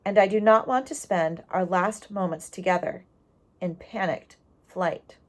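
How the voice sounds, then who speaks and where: A woman reading the part of a wise, calm old great-grandfather, speaking in a measured, resolute way in a storybook. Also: mid-range voice, lightly acted. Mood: grave, resolute. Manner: measured, resolute, addressing family.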